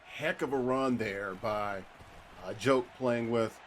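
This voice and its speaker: A middle-aged man doing play-by-play commentary on a football video game tournament, his voice jumping up with excitement on big plays. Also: mid-range voice, takes it slowly. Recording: faint background noise.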